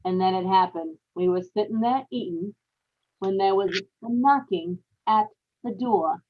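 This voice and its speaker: A woman's voice: medium pitch, unhurried, lo-fi sound, natural voice. A woman playing a scheming, guilt-ridden murderous wife in an old time radio horror play, with dramatic, folksy, emphatic delivery. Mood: haunted, confessing. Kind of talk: old time radio horror acting, confessional narration and dialogue.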